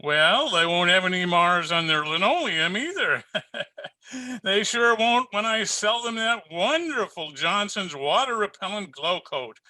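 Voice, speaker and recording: Man as bright, high-pitched, hearty wax salesman. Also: no room sound, heavily acted, rough recording. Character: relentlessly cheerful, enthusiastic floor wax salesman. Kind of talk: old-time radio comedy acting.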